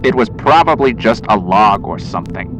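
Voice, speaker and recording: Man acting a gruff, stubborn older fisherman, pitch swinging. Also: expressive, normal speed, over music, close to an everyday voice, rough recording. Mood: annoyed. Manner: folksy, blunt, challenging. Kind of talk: 1920s radio horror storytelling.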